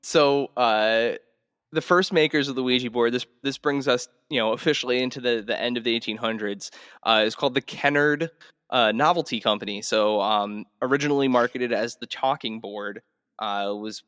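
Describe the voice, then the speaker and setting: A man hosts a relaxed history chat on a podcast. His voice sits at an average pitch with a long reverb tail. He explains things conversationally, often halting and regrouping as he goes. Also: clear, resonant tone, studio quality. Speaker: podcast host. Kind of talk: casual podcast talk telling history.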